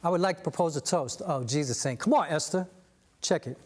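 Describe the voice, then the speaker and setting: Saint: man doing a loud, slangy, big-hearted friend, high-pitched voice. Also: a little fast, a little hiss or hum, natural voice. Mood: boisterous. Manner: slangy street talk, toasting.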